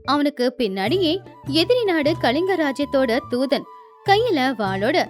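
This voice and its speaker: A woman narrating a scripted animated moral story. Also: high voice, a little slow, faint music behind.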